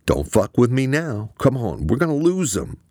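A man voicing a frantic, excitable young man who shouts and swears into the phone. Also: lowish voice, unhurried, husky, dry recording, studio quality, voice pushed up, exaggerated voice. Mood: agitated. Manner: loud, agitated, swearing.

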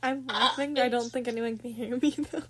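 A young woman with a somewhat high-pitched voice hosts a casual podcast. She rambles eagerly, correcting herself as she goes. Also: fairly even intonation, fairly quick, husky. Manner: enthusiastic, rambling. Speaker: podcast host.

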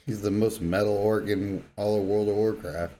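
A man chats casually as a podcast co-host. His voice sits a little low and has a dark, mellow tone. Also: steady tone, moderate pace, slight background noise. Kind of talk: casual chat.

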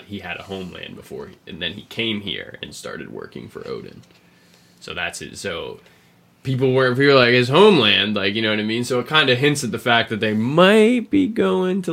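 A man hosting a podcast, explaining video game lore in a casual, rambling, sweary way, with a gravelly, husky voice. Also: lowish voice, pushed and bright. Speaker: podcast host.

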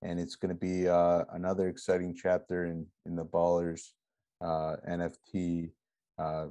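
A man co-hosts a casual podcast in a deep, dark voice with little melodic movement. The recording is dry and close. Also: completely flat delivery, moderate pace, no room sound. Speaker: podcast co-host. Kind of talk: casual chat.

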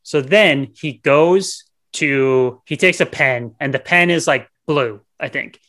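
A man's voice: a little high, slow pace, fairly bright tone. A man co-hosting a podcast, casually retelling a movie in a rambling, slangy, profane way. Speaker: podcast co-host. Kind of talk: casual movie review banter.

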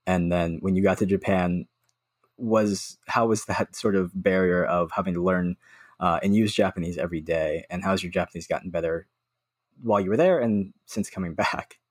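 A male podcast co-host with a very low, husky voice. He muses and then asks long, thoughtful questions. His sound is dry and close. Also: normal speed, no echo. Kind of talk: conversational interviewing.

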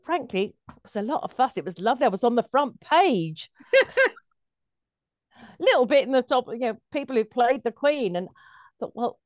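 A woman's voice: fairly high pitch, lively intonation, normal speed, long pauses, darkish tone, no echo, lo-fi sound. A woman, a guest performer telling anecdotes casually in an interview.

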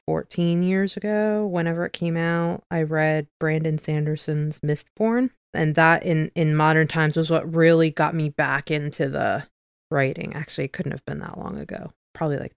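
A woman with a notably low, smooth voice talks casually, as a podcast co-host would. She works out her thoughts aloud and corrects herself along the way. The sound is close and very dry. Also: steady tone, unhurried, no room sound. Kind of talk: casual conversation.

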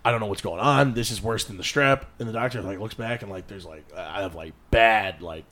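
A man in his twenties with a gravelly voice, a former boxer rambling and swearing as a podcast guest. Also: fairly low pitch, brisk. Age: 20s. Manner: rambling, restarts, swears, laughs loudly. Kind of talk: casual chat.

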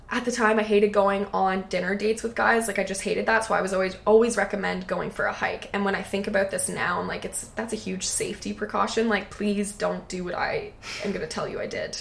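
A woman in her twenties, co-hosting a podcast, tells a dating story in a casual, candid, chatty way, with a lowish voice and plenty of "like". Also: creaky. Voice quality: lowish, not high-pitched voice. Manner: chatty, lots of "like", candid and profane. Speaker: podcast co-host.

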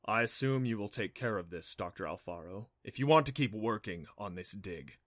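A man voicing an angry scientist father who speaks low and tight to hold his temper. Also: lively intonation, moderate pace, a bit bright, no room sound, studio quality. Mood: seething.

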